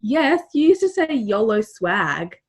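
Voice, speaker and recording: A woman's voice: a little high, sing-song, measured pace, husky. A teenage woman with a broad Australian accent, co-hosting a casual podcast chat, giggly and teasing. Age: teens. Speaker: podcast co-host.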